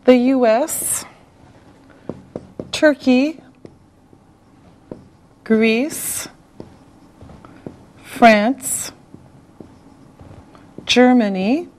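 A middle-aged woman from Canada teaching an English lesson, enunciating deliberately word by word and repeating herself, in a studio. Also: fairly high pitch, a little mellow, rough recording. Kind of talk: slow classroom language lesson. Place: television studio classroom set.

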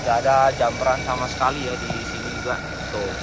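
Casual male car seller, enthusiastic and slangy, medium pitch, handheld recording with clear background noise. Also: little pitch movement, fairly quick. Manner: informal, slangy, enthusiastic sales talk. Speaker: car seller presenting a used car. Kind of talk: casual walkaround review to camera. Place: outdoors by a car and inside it.

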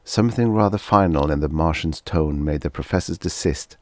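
A man reading humorous fiction as an audiobook narrator, in expressive scripted narration. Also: a bass voice, a little fast, dry recording, studio quality.

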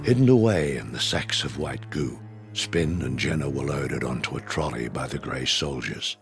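A man's voice: extremely low pitch, lively intonation, moderate pace, over music. A man giving scripted, dramatic narration for an audio drama.

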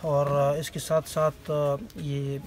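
A man's voice: fairly high pitch, monotone, extremely slow, noisy background. A man, casually showing goods and giving prices as a shopkeeper. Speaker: shopkeeper, seller. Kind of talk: casual product showing and pricing. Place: small cluttered market shop indoors. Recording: busy market shop, background chatter.

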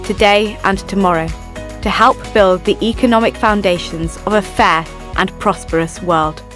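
A woman voice actor reading scripted commercial and documentary narration in a polished, measured way. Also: mid-range voice, fairly bright tone.

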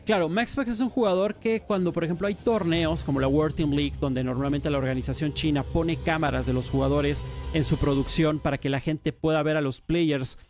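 Brief: male podcast host, lively and slangy, voice a little high, soft music underneath. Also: fairly quick, few pauses. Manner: animated, enthusiastic, lots of slang. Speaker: podcast host and StarCraft caster. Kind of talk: casual podcast chat.

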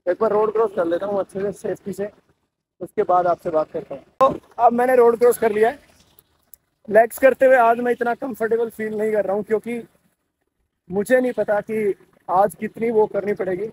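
A man chatting casually to camera as a fitness vlogger, on a handheld phone. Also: high voice. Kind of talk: casual vlog chat to camera, Hindi mixed with English. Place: outdoors on busy streets, later inside a gym. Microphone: phone held at arm's length. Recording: noisy street and gym ambience, traffic.

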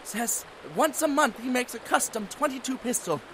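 A woman's voice: a little high, normal speed, a bit bright, slight background noise, close to an everyday voice. A woman playing a terrified captive informant in an audio drama, pleading and stammering. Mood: pleading, frightened.

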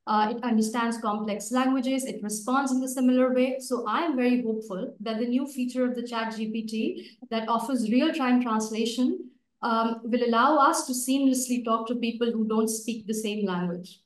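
A woman in her thirties, a native Urdu speaker, giving a prepared debate speech over a video call in a steady, list-like way. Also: on the high side, normal speed. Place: home room. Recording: echoey video call from far off.

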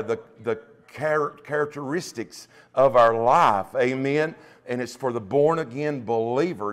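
Slow, higher-voiced preacher man. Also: clear, resonant tone. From United States. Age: middle-aged. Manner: emphatic preaching with frequent interjected amens. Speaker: pastor. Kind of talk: Pentecostal sermon. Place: small church, at the pulpit indoors. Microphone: lapel mic.

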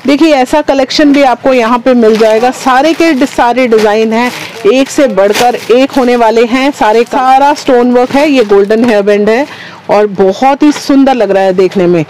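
A woman's voice: a little high, normal speed, darkish tone, slight background noise, low-quality recording. Lady doing a shop showcase vlog, same sales phrases over and over. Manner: repetitive, patter-like presenting with stock phrases. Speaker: shopping vlog host. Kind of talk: product showcase, presenting wholesale goods. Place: small wholesale market shop, indoors.

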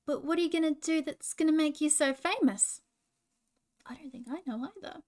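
A friendly kangaroo pal from a children's storybook, played by a woman, pitched on the high side. The character is curious and frank, asking questions and offering helpful explanations. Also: lively intonation, moderate pace. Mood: amazed, doubtful.

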